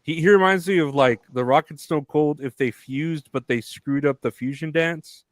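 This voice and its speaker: A man co-hosting a wrestling podcast, giving blunt opinions in a halting, restarting way. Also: on the high side, dry recording. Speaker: podcast co-host. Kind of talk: casual wrestling chat.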